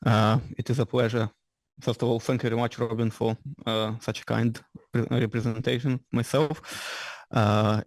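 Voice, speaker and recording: A man with a Ukrainian-Scottish accent giving a lecture as an invited engineer. He speaks in halting, slightly ungrammatical English and often restarts his sentences. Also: medium pitch, darkish tone, no echo. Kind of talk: lecture presentation. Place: lecture room with audience.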